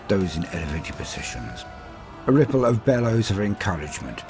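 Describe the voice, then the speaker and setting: Guy doing a grizzled space marine captain war monologue, super deep, dramatic swells and drops, proud n cold, faint music behind. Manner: solemn, measured, dramatic pauses. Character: battle-hardened veteran space marine captain, proud, coldly resolute. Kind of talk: dramatic first-person sci-fi war monologue.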